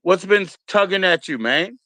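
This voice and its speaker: A man's voice: sits high, expressive, normal speed, bright, forceful tone, dry recording. An American man chatting casually as a livestream host, in a laid-back, slangy, encouraging way. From Maryland, United States.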